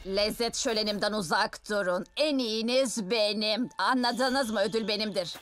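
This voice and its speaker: A woman's voice: mid-range voice, moderate pace, fairly bright tone, slight background noise, lightly acted. Woman as a smug, scheming creature chef. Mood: possessive. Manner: smug, possessive. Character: conceited, scheming creature chef with a scientific bent. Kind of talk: Turkish cartoon dub acting.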